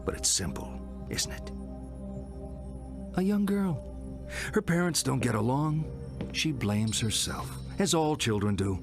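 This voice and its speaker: An actor playing a provocative, impatient male psychiatrist in a video game, theatrical and pointed, asking rhetorical questions. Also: mid-range voice. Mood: grave, insistent. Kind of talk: dramatic video game acting. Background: music.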